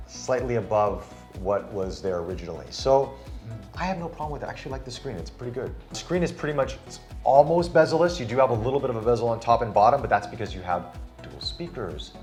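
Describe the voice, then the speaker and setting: A man reviewing a phone in casual chat, enthusiastic and demonstrating it hands-on. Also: mid-range voice. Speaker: tech reviewer. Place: Singapore studio.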